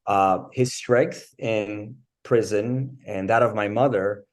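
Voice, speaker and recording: A man in his forties from France, a guest telling his life story in a casual interview, pausing often with fillers. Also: on the low side. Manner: thoughtful, many pauses and fillers. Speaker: interview guest, bench press champion.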